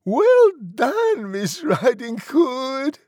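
A man voicing a small, clever, frightened storybook pig with an excitable squeal. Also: extremely high pitch, extremely slow, no echo, studio quality, pitched above the performer's own voice, full character voice. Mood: excited. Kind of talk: animated storybook character acting.

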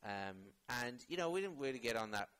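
A man's voice: medium pitch, big pitch swings, a little fast, a bit bright, smooth. A man talking casually as an actor guest in an interview, rambling with many fillers and restarts.

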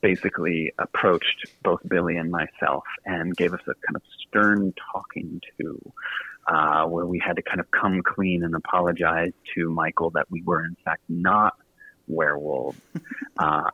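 An American man from Virginia, a guest author telling stories casually in an interview, chatty, halting and self-deprecating. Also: sits low, steady tone, husky. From Virginia, United States. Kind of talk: casual storytelling in a radio interview. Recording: tinny phone-like line with some room echo.

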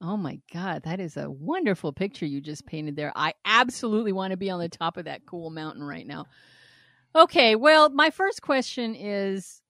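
A woman's voice: on the low side, lively intonation, moderate pace, pushed and bright, no echo, clean studio sound. A woman co-hosting a podcast, reading a listener's email aloud and giving blunt, frank advice with frequent laughter and swearing. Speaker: podcast co-host.

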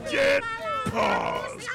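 A man voicing a gravelly, bombastic Hollywood hero rabbit in a spoof radio-play commercial. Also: very high voice, very noisy, full character voice.

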